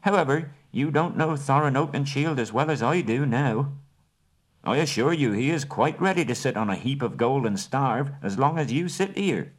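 A man voicing a small, shrewd male hobbit who talks in a brisk, businesslike, genteel way. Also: medium pitch, expressive, pitched above the performer's own voice, lightly acted.

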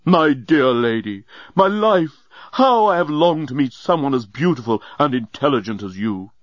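Storybook old alien genius, male, acted by a man: drunk, effusive, swooping pitch, slightly muffled recording. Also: animated, moderate pace, no room sound, natural voice. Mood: drunk, adoring.

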